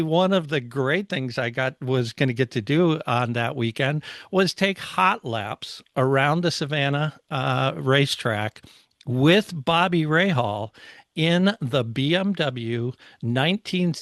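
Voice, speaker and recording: A middle-aged man tells stories as a podcast host in a casual, enthusiastic tone. His voice sits at a medium pitch, and the sound is dry with very little room in it. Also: a bit bright, dry recording.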